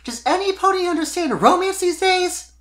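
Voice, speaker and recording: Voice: extremely high pitch, expressive, normal speed, a bit bright, pitched above the performer's own voice. A performer voicing an exasperated young female pony pop singer from a storybook, wry and wistful, with dramatic, emphatic, teasing delivery. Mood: dramatic exasperation.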